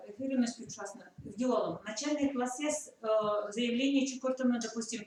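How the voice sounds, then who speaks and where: A woman's voice: fairly high pitch, fairly quick, a little mellow. A woman teacher, explaining at length in a work meeting. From Komi Republic. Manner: explains at length, thinking aloud. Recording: echoey room from far off, faint noise.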